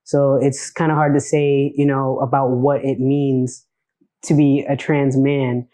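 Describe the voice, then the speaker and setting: A man's voice: mid-range voice, level tone, a little slow, mellow. A man on a discussion panel talking casually and often saying "you know". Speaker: panel guest.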